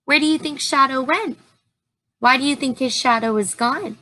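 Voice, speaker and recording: A woman reading a children's storybook aloud like a teacher, in an expressive, deliberate way, with simple asides and questions to her young listeners. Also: sits high.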